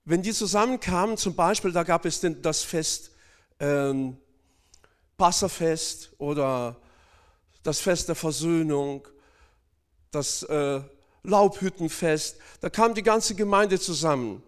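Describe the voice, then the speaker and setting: A man's voice: on the high side, takes it slowly, clean tone. A man from Northern Kazakhstan preaching a sermon, speaking hesitantly with many fillers and addressing the congregation directly.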